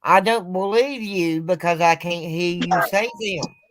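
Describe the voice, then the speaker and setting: A woman's voice: on the low side, a little slow. A woman chatting on a live stream, giving short, drawling replies. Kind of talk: casual live stream chat.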